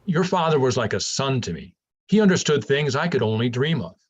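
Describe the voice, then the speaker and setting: Man acting a kindly husky older professor, animated. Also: mid-range voice, a little fast, highs cut off. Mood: fond, earnest. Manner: patient, explanatory, conversational. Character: kindly older male professor, gentle, fond, wry mentor. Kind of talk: staged reading of a science fiction play.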